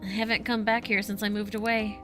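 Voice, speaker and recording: An actor playing a refined, earnest young male art student in a video game, speaking in formal, measured phrasing. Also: high-pitched, level tone, music in the background, close to an everyday voice. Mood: solemn.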